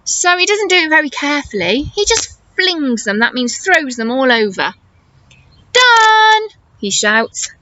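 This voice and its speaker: A woman teacher reading a story to young children, encouraging and expressive, asking questions and explaining as she goes. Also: high-pitched, normal speed, few pauses, no echo, muffled recording. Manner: encouraging, expressive, exaggerated intonation for small children.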